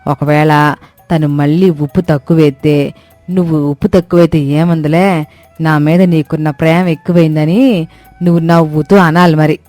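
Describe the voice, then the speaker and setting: A woman's voice: sits low, steady tone, normal speed, mellow, no echo, natural voice. A woman playing a reformed, bossy village mother-in-law in a moral story, giving folksy, emphatic, good-humoured advice. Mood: playfully stern. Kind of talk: scripted moral story acting. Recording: music behind the voice.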